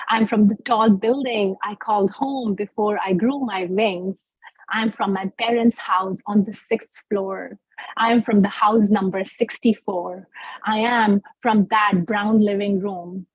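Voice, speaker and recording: A woman from India, a poet, reading her poem and then answering questions in a slow, measured, reflective way. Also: mid-range voice, breathy edge, no room sound, lo-fi sound. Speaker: guest poet. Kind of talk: poetry reading and interview answers.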